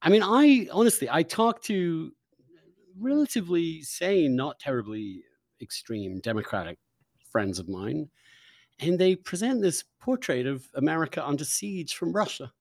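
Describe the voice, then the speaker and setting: Male host, lively intonation, emphatic opinionated conversation with halting repetitions. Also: on the high side, dry recording. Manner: emphatic, halting, repeating words for stress. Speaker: interview host.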